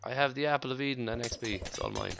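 A man's voice: mid-range voice, fast, gravelly, noisy background. A young man casually chatting and joking while playing a game with a friend, with playful asides. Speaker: gamer co-host. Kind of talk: casual let's-play chat.

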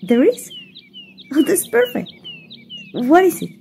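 A woman's voice: extremely high pitch, huge pitch swings, takes it slowly, creaky, warm tone, a little hiss or hum, full character voice. A woman voice actor voicing a playful, flustered young fairy in an ASMR roleplay, giggling and stammering shyly.

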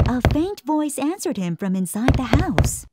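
A woman storyteller reading a scripted children's bedtime story in an expressive, dramatic way. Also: sits high, a little slow, heavy background noise. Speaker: storyteller, narrator. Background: music.

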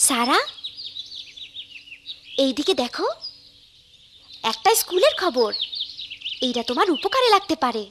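A woman's voice: high-pitched, big pitch swings, unhurried, pauses often, noisy background. A woman voicing a spirited, kind-hearted young village girl in a cartoon, speaking earnestly with a rural Bangladeshi accent. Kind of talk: cartoon dubbing, expressive storytelling.

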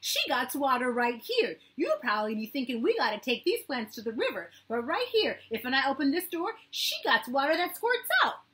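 A woman reading an audiobook, voicing an excitable small boy who talks in broken, gleeful grammar. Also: a little high, fairly quick, voice pushed up, poor audio.

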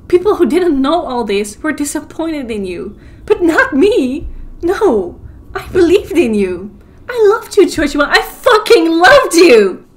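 High-voiced emotional young woman, played by an actress. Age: young adult. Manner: emotional, confiding. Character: Rue, hurt but determined young woman, aspiring artist. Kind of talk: audio drama acting.

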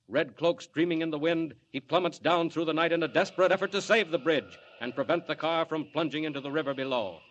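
Man, radio announcer, dramatic 1940s serial narration, declamatory, urgent, muffled broadcast recording. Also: mid-range voice.

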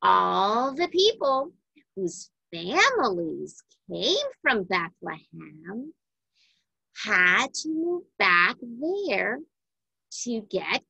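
A woman reading a children's Bible storybook aloud in a deliberate, emphatic way for young listeners. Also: medium pitch, very animated, gravelly, bright, forceful tone, husky, no echo, highs cut off.

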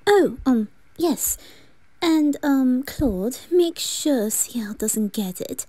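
A woman playing a smitten, flustered young highborn man in an ASMR roleplay, murmuring softly and hesitantly. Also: expressive, very slow, no room sound, exaggerated voice. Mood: flustered, tender. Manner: soft, hesitant, breathy murmuring.

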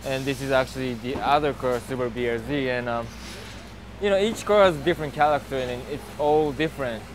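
Man, thirties, relaxed halting talk, lapel mic, racetrack paddock, engine noise behind. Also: mid-range voice. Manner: relaxed, halting, many fillers. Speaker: professional drift driver. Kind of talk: outdoor interview. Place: outdoor paddock tent at a racetrack. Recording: noisy outdoor racetrack recording.

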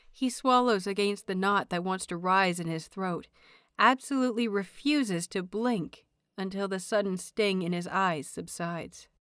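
A woman reading scripted fiction aloud as an audiobook narrator, in an expressive, measured storytelling manner. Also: mid-range voice, clear, resonant tone, no echo, studio quality.

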